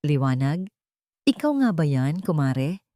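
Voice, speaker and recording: A woman's voice: low voice, big pitch swings, measured pace, warm tone, dry recording, clean studio sound. A Filipino woman voicing a friendly, surprised elderly village neighbor, exclaiming and familiar, in a narrated story.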